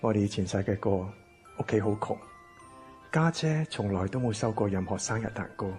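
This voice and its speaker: A man's voice: fairly low pitch, animated, normal speed, darkish tone, faint music behind, close to an everyday voice. A man playing a kind, wistful man with family regrets in a Cantonese radio drama. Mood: gentle, wistful.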